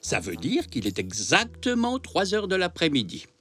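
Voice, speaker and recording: An actor playing a kindly, patient, easily muddled old grandpa zebra in a cartoon, with a hesitant, explanatory manner. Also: male voice, high-pitched, huge pitch swings, moderate pace, fairly bright tone, faint music behind, full character voice. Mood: kindly explaining. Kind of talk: French children's cartoon dubbing.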